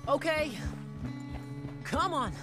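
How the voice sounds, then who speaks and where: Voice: high-pitched, very slow, over music. A performer playing a timid young boy in a video game, hesitant and obedient, with short answers. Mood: excited. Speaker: game character. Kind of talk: video game cutscene acting.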